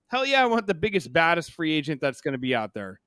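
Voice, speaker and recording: A man's voice: a little high, sing-song, fast, a bit bright, dry recording, clean studio sound. A man co-hosting a hockey podcast, giving casual, opinionated sports talk and repeating himself for emphasis.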